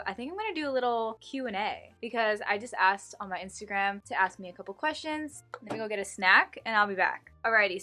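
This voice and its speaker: A woman's voice: medium pitch, bright, forceful tone. A woman in her early twenties with an American accent, chatting informally and emphatically in a rambling vlog Q&A. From Houston, United States. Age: early 20s. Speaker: vintage reseller and vlogger.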